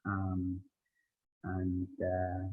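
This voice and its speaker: A man with an Irish accent being interviewed about his time in a coaching program, pausing often with ums and letting his voice drop away. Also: deep voice, monotone, phone-quality sound. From Ireland. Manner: hesitant, many ums, trailing off quietly. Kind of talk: interview over call.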